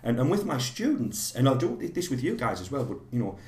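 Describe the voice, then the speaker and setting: A man with a slightly hoarse voice from a cold, teaching a live online course in a rambling way with many fillers and restarts. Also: medium pitch, big pitch swings, fairly quick, a little mellow, reverberant.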